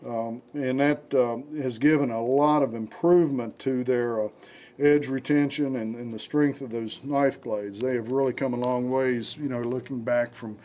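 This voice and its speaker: Raspy guy with a flu-hoarse voice, rambling on about knives, lots of uhs. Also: medium pitch, normal speed. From United States. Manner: rambling, relaxed, many fillers and restarts. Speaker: knife show host. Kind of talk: casual product demonstration.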